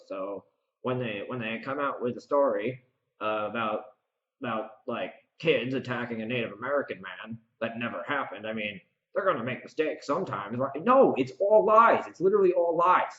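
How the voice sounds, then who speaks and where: Casting: man, mid-pitched voice, solo podcaster, preachy and repetitive for emphasis, roomy lo-fi recording. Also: fairly bright tone. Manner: emphatic, preachy, repeats phrases for stress. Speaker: podcast host and author. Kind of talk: rambling solo podcast commentary. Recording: roomy echo, slightly dull lo-fi sound.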